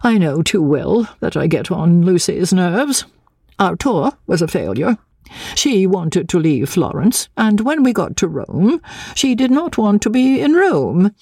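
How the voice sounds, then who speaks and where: A woman's voice: lowish voice, sing-song, a little slow, warm tone, slightly rough, dry recording, studio quality, pitched above the performer's own voice, exaggerated voice. A woman reading a storybook as a prim, fretful spinster cousin, martyred, secretive and intense. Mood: prim, aggrieved. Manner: evasive, intense, self-pitying, sometimes dropping to an urgent whisper.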